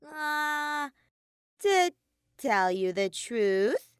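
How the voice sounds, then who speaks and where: A woman's voice: high voice, sing-song, extremely slow, dry recording, studio quality, voice pushed up, heavily acted. A woman voicing a mischievous, sadistic male robot bear in an audio story, flippant and taunting. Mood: hesitant. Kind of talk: fan fiction audio story character voice.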